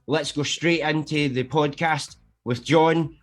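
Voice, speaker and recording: A man's voice: a little high, fairly even intonation, takes it slowly. A man with a Scottish accent, hosting a podcast and opening the episode in an upbeat, eager way. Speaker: podcast host. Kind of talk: show opening.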